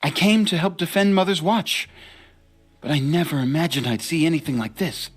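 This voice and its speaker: A soft-spoken young tribesman, husky, slightly raised pitch, faint game music. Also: moderate pace. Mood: earnest. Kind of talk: video game cutscene acting.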